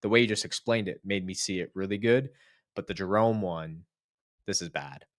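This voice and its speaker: Enthusiastic low-voiced host, dry sound. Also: male voice, moderate pace, no room sound. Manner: enthusiastic, affirming. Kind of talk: casual chat.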